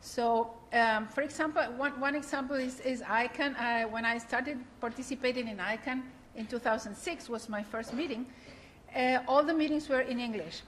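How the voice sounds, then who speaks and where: A woman's voice: fairly high pitch, moderate pace, a bit bright, a little hiss or hum. A woman from Argentina, moderating a conference workshop in an earnest, explanatory way with frequent restarts. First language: Spanish.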